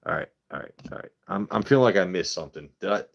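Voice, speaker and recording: A man's voice: deep voice, animated, quick pace, creaky, a little hiss or hum. A man in his thirties hosting a casual livestream, talking bluntly with lots of swearing and rambling asides. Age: 30s. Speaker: whiskey channel host.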